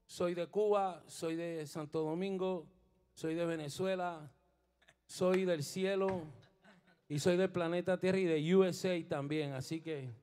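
Guy, middle-aged, kinda high voice, introducing his band on stage into a stand mic, mixing spanish and english, gets preachy. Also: steady tone, measured pace, darkish tone, clean tone. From Puerto Rico. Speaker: bandleader and bassist. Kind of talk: onstage band introductions and spiritual encouragement. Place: indoor studio stage with a band. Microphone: stand microphone while playing bass.

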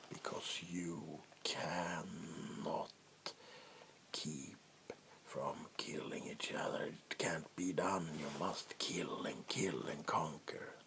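An ancient vampire warlord acted by a man whispers in a low, breathy ASMR roleplay voice. He speaks extremely slowly and deliberately. He is cold, power-hungry and menacing, commanding without ever needing volume. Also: steady tone, fairly bright tone, full character voice. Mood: grim, weary. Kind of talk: soft-spoken ASMR roleplay monologue. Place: small wood-panelled room indoors.

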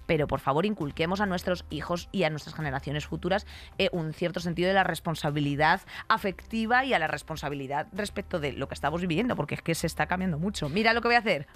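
A woman in her thirties with a fairly low voice and a Spanish accent from Spain. She chats candidly as a podcast host, blunt and emphatic, swearing freely. She sits close to a studio microphone. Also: fast talker. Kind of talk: candid, opinionated podcast chat. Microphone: close studio microphone on arm.

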